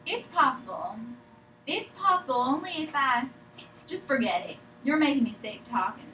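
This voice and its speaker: A woman's voice: sits high, expressive, normal speed, pushed and bright, muffled recording. A woman acting a stubborn, evasive, sick woman patient in a drama table read, argumentative and rambling. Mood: defiant. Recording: echoey room, distant mic, faint noise.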